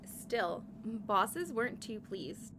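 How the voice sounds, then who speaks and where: A woman's voice: mid-range voice, very animated, extremely slow. An actress playing a catty grim reaper in her forties in an audio drama, needling and sarcastic. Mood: snide, resentful. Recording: clear background noise, ambience.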